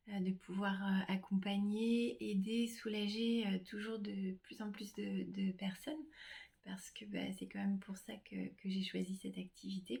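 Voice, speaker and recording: A middle-aged woman, a reflexologist, talking casually about herself to her clients in a gentle, hesitant way with many pauses. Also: medium pitch, vocal fry. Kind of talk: casual self-presentation to clients.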